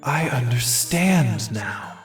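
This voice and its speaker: Man acting proud, slow-spoken merfolk shaman, music behind. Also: mid-range voice, expressive. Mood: awed resolve. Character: proud merfolk shaman, male, power-hungry and defiant. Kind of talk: fantasy audio drama acting.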